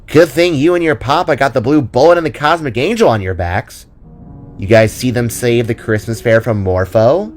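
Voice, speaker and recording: A man's voice: mid-range voice, normal speed, soft background music, natural voice. A man voicing a playful, cheeky young friend with a casual, teasing way of talking in an audio drama.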